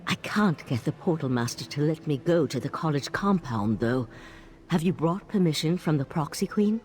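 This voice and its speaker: An actress playing a troubled, sardonic mage in a video game, speaking in a weary, confiding way. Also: female voice, low-pitched, lively intonation, normal speed, darkish tone. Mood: brisk, dry. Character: troubled, sardonic female Psijic mage, grieving mother. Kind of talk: video game character dialogue. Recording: game music behind.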